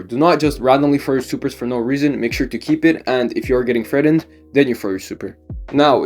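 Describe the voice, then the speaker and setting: A man's voice: medium pitch, a little mellow. Man with a cold explaining game tactics. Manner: explanatory, leans on "basically" and "now". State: has a cold, voice slightly off. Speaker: gaming YouTuber. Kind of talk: game strategy tutorial voice-over.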